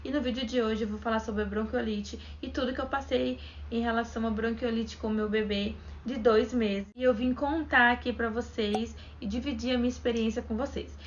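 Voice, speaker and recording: A woman's voice: on the high side, fairly bright tone. A young woman from Brazil talking casually to camera as a vlogger, chatty, retelling events and quoting what others said. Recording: dull lo-fi sound with faint background noise.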